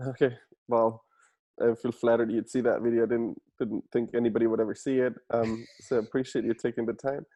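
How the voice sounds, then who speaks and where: A man's voice: mid-range voice, level tone, fairly quick, mellow, dry recording. A man in his thirties from Germany with a German accent, answering interview questions in an earnest, reflective way with frequent restarts. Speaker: guest entrepreneur.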